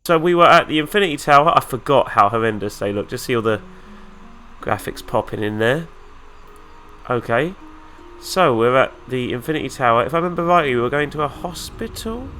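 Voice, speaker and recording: A man's voice: medium pitch, expressive, fairly quick, sharp tone, faint music behind. A man with a nasal, flu-stuffed voice, giving casual let's-play commentary with dry, sarcastic asides. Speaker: gaming YouTuber.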